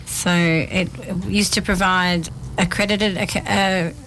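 A lower-pitched Australian woman answers questions calmly and plainly, pausing with fillers as she explains. Faint background noise sits under her voice. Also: rough recording. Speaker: community development officer guest. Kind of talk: casual interview answers.

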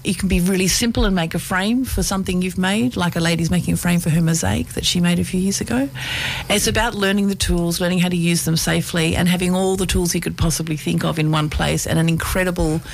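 A woman's voice: on the low side, steady tone, fast, vocal fry, slight background noise. An Australian woman, a community centre's education coordinator, talking enthusiastically on a radio interview. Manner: enthusiastic, warm talker. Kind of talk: casual interview chat.

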